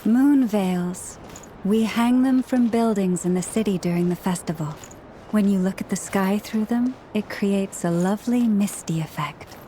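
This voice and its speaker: An actress playing a composed, gentle young woman guide in a video game, speaking politely and formally. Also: mid-range voice, unhurried, slight background noise, close to an everyday voice, low-quality recording. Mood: explanatory. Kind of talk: video game character acting.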